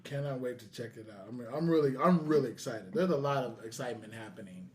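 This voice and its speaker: A man's voice: medium pitch, fast, darkish tone, slight background noise. A man hosting a podcast, chatting casually. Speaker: podcast host.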